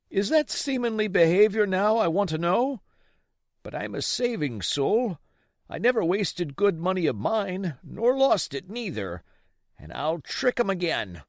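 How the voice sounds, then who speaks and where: A man voicing a sick, desperate old pirate in a storybook, wheedling and blustering in rough sailor's dialect. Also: high voice, dry recording, studio quality, pitched above the performer's own voice, heavily acted. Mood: alarmed, defiant. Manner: rough nautical dialect, pleading and cursing.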